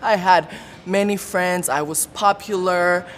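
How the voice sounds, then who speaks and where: A woman telling her own story of living with a stutter, with repeated sounds, blocks and pauses. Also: steady tone. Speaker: support group member sharing her story. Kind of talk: personal testimony. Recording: long room reverb with faint low rumble.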